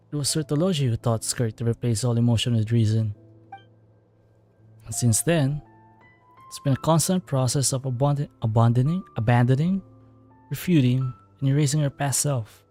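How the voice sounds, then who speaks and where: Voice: medium pitch, moderate pace, long pauses, dark tone, soft background music. A game streamer casually commenting on a story quest, musing and reacting aloud as they play.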